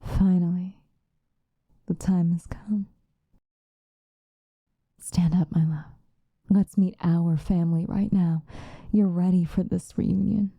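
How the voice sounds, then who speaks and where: Woman acting a slow, velvety possessive vampire lover. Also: on the low side, steady tone, mellow, no room sound, studio quality, full character voice. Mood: tender, commanding. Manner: intimate, breathy, drawn-out seductive delivery, often dropping into whispers. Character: possessive, obsessive female vampire lover, sinister and tender. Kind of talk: ASMR roleplay monologue.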